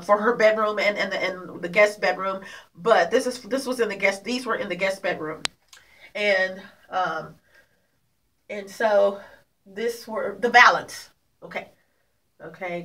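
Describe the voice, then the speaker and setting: A woman's voice: mid-range voice, pushed and bright, far from the mic. A woman talks casually to her viewers from her apartment, her voice nasal and congested. She halts, restarts and repeats words as she goes. She sits a little back from the camera mic, so the room comes through. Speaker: vlogger. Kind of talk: rambling casual vlog.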